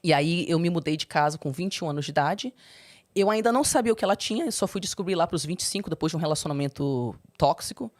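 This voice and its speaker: Woman, young adult, lower pitch, candid storytelling, animated. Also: quick pace. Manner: expressive, swings into high animated quoting. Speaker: interview guest.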